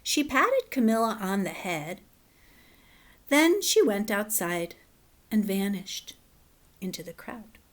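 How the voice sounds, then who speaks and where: A woman teacher reading a children's story aloud and then explaining it in a clear, measured way. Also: mid-range voice, very animated. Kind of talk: children's read-aloud and lesson.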